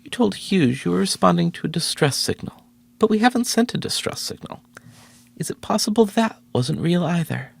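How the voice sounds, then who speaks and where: An actor playing a skeptical, sarcastic station director in a science fiction video game, sardonic and clipped. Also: male voice, high-pitched, lively intonation, moderate pace, gravelly, slightly rough, no echo, exaggerated voice. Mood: skeptical, cold.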